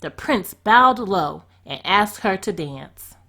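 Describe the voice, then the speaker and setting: A woman reads a fairy tale aloud as a storyteller. Her mid-pitched voice moves with lively, expressive swings that shape each sentence. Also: slow pace.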